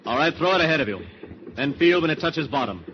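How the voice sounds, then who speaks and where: An actor playing a clipped, bitter, vengeful English skipper with a British accent in a 1940s radio drama. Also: male voice, on the high side, lively intonation, fast talker, a little hiss or hum, lightly acted. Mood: terse, commanding. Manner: clipped, grimly sardonic. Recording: dull lo-fi 1940s radio, music behind.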